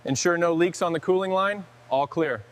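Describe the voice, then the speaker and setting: A man's voice: on the high side, moderate pace, noisy background. A man working on a truck restoration, giving terse, clipped instructions. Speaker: restoration worker. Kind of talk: scripted workshop dialogue.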